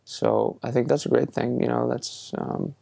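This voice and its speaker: A young man from Iceland talks through interview answers in a relaxed, hesitant way, with plenty of fillers and restarts. His voice is gravelly and dark, and his pitch stays flat and even. The sound is dry and close. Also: a little slow, dry recording. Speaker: musician being interviewed.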